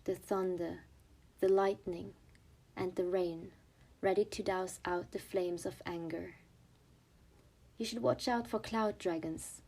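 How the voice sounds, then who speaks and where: A woman's voice: on the low side, fairly even intonation, slow pace, creaky, long pauses, a little mellow, husky, heavily acted, low-quality recording. A woman acting as an ominous storybook narrator in an audio play, giving scripted dramatic narration. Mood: ominous, hushed. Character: ominous storybook narrator warning of a coming storm.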